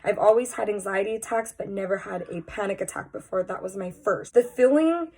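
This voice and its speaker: A young woman talks straight to her camera, her voice stuffy and a little nasal from a cold. She speaks candidly and earnestly, in a loose conversational way, as if confiding in her followers. Her pitch sits in a middle range for a woman. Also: fairly quick, a little mellow, slightly rough. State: congested, sounds nasal from a cold. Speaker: vlogger. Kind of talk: personal confessional vlog.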